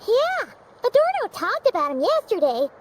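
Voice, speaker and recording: Squeaky, excitable little fairy girl. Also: moderate pace, slight background noise, full character voice, low-quality recording. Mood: curious. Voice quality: squeaky, childlike. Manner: exclamatory, indignant, curious. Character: small excitable floating fairy girl companion. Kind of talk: video game voice acting.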